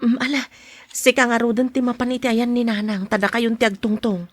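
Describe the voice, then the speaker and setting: A woman playing a husky, high-voiced caring mother. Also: flat delivery, brisk, no room sound, lightly acted. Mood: gentle. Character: caring wife and mother, anxious about money, soft-spoken. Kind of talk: podcast drama dialogue.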